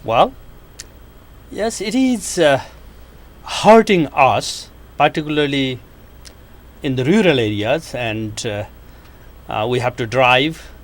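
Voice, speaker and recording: A man from Nepal speaks in a university TV studio. He is in his fifties and answers questions in an unhurried, careful way. He pauses often and fills the gaps with hesitations. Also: medium pitch, expressive. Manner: measured, hesitant, with frequent pauses and fillers. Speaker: economics professor, guest.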